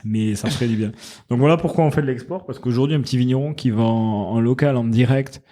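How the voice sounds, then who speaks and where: Mellow-voiced man explaining his venture. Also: mid-range voice, fast talker. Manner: earnest, explanatory, thinks aloud mid-sentence. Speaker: founder of a wine company, podcast guest. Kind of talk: casual interview chat.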